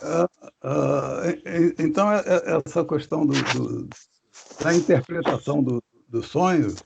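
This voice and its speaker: A man leading a casual religious study discussion, halting and searching for words with many restarts, over a video call. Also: a little high, creaky, background noise. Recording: dull lo-fi call audio.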